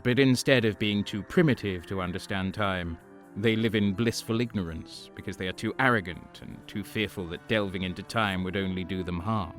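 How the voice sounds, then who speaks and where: A man's voice: lowish voice, close to an everyday voice. A man playing a solemn, all-knowing transcendent being, narrating an audio drama in a grave, portentous, scornful way.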